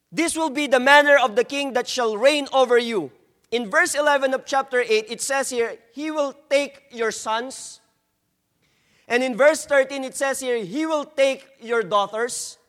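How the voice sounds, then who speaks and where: A man from the Philippines with a strong Filipino accent, preaching a slow, emphatic sermon as a guest preacher and reading scripture. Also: very high-pitched, fairly bright tone, in a large hall. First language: not English.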